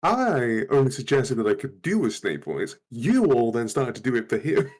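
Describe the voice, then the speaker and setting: Mid-pitched man in casual joking chat. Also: very animated, moderate pace, a little mellow. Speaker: co-streamer in a group read-along.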